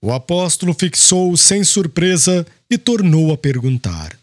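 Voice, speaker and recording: A man's voice: a little high, very animated, unhurried, no echo, studio quality. A man narrating a religious audiobook in a solemn, formal storytelling style. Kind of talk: scripted literary religious narration. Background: glockenspiel-like music.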